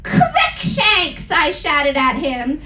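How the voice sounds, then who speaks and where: High-voiced woman reading theatrically on stage. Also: animated, takes it slowly. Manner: theatrical, mock-serious with asides. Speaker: performer giving a dramatic reading. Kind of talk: comic live dramatic reading. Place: live event room with audience. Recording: roomy live stage sound.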